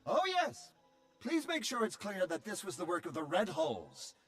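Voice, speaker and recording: Casting: anxious, cowardly servant droid for a game; very high, animated, fussy delivery. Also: male voice, moderate pace, gravelly, slightly rough, soft background music, exaggerated voice. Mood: relieved, obsequious. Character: fretful, cowardly, servile droid sidekick. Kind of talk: video game voice acting.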